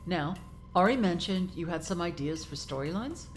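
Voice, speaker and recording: A woman plays a celebrated actress who is gracious and friendly with her fans. Her voice is on the low side, her manner polite and cordial, with faint music behind this audio drama. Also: expressive, normal speed, natural voice.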